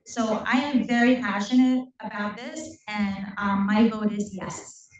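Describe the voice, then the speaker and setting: A woman's voice: mid-range voice, a little slow. A woman from Puerto Rico whose first language is Spanish. She is a council member making an earnest, personal statement in a council meeting, with frequent ums. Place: council chamber. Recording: echoey chamber, distant dull mic.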